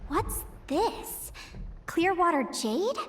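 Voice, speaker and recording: Excitable, high-pitched young adventurer girl. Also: extremely slow, background noise, exaggerated voice. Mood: dreamy wonder. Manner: exclamatory, wistful, talking to herself. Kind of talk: video game voice acting, expressive monologue.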